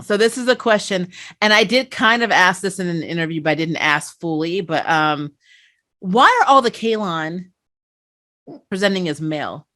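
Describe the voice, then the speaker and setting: A woman hosting a casual fan review, chatting in an animated, storytelling way. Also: fairly low pitch, moderate pace. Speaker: talk show host and interviewer.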